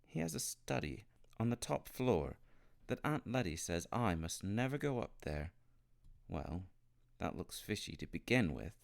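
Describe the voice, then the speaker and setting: A miserable, defiant young storybook boy, as voiced by a man, plaintive and insistent. The delivery is emphatic and swings widely up and down, with some gravel in it. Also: on the low side, very animated, measured pace, full character voice. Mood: secretive, earnest. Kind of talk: storybook character reading.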